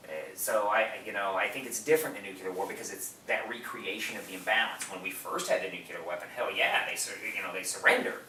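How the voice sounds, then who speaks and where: A man's voice: lowish voice, fast, hardly any pauses, bright, forceful tone. A man co-hosting a movie podcast, explaining things in a rambling, enthusiastic way during a casual film discussion. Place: echoey room, away from the mic. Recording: roomy, distant mic, faint noise.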